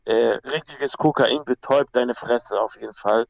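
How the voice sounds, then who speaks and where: A man's voice: medium pitch, moderate pace, vocal fry, no echo, lo-fi sound. A young man from Peru, a guest talking casually and bluntly, with slang and many restarts. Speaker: guest, MMA fighter. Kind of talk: casual conversation.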